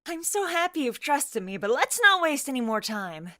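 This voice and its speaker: A woman's voice: high-pitched, moderate pace, no room sound, pitched above the performer's own voice, heavily acted. A woman voicing a teasing, supportive, bubbly young female best friend in a narrated story. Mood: warm, encouraging. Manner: playful, encouraging, animated. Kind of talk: scripted audiobook character dialogue.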